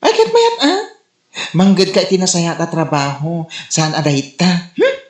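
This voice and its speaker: Casting: actress as nosy scornful neighbour woman, Ilocano comedy drama, exclamatory, very lively intonation, Filipino accent, echoey room. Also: on the low side, normal speed. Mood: bossy. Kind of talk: comedy radio drama acting.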